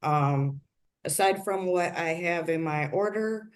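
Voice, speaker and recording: A middle-aged woman giving a formal, deliberately worded account to a judge over a video call. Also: lowish voice. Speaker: litigant bringing a motion in court. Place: large office room, on a video call.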